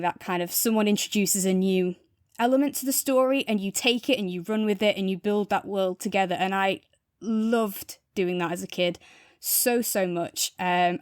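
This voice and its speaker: Female podcast co-host, mid-pitched, enthusiastic casual review chat, very dry recording. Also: clean tone, no room sound.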